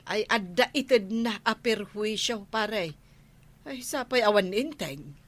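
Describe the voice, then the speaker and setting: An actress playing an inquisitive, fretting village official's wife with a gossipy, questioning manner in a radio comedy drama. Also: female voice, medium pitch, animated, slow, fairly bright tone. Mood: concerned, nosy.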